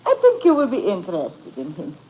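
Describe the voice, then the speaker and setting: Sultry, swooping nightclub singer. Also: female voice, mid-range voice, wildly swooping pitch, brisk, slightly rough, rough recording. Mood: cool, conspiratorial. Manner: careful, formal phrasing without contractions. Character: coy, sultry European female nightclub singer and schemer. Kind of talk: 1950s radio drama acting. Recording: old radio recording with loud music.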